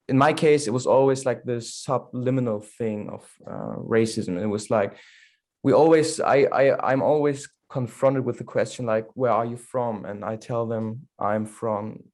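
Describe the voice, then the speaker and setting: A man's voice: medium pitch, darkish tone. A man from Berlin speaks in a panel conversation with an ordinary mid-range voice. He talks fluently and earnestly, leaning into his points with emphasis. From Berlin, Germany. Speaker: actor.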